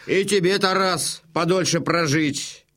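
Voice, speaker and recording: An actor playing a blunt old Cossack comrade in a theatrical audio drama. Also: male voice, sits high, measured pace, fairly bright tone. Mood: cordial.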